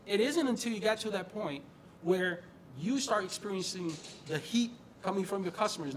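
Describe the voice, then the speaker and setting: High-voiced man presenting, faint background noise. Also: a bit bright, rough recording. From born in Brazil, grew up in northern New Jersey, US. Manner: conversational, emphatic, repeats phrases for stress. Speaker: presenter, quality engineering manager. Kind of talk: informal tech meetup presentation. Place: meeting room presentation before an audience.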